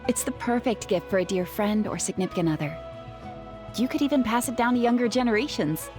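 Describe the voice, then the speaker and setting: A woman's voice: on the high side, brisk, music in the background, close to an everyday voice. A woman playing an upbeat, grateful young woman with a salesy flair in a video game. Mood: persuasive.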